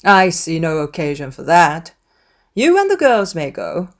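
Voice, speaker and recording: A woman voicing a wry, sarcastic middle-aged husband in a dramatised novel, unhurried and teasing. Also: on the low side, huge pitch swings, voice pushed down, full character voice. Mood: dryly reluctant. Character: dry, sarcastic middle-aged gentleman, long-suffering husband.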